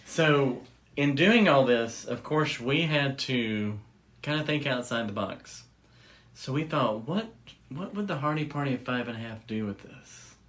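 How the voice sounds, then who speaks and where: A male podcast host talks in a casual, conversational way. His voice sits at an average pitch for a man. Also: animated, measured pace, fairly bright tone. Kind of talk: casual chat.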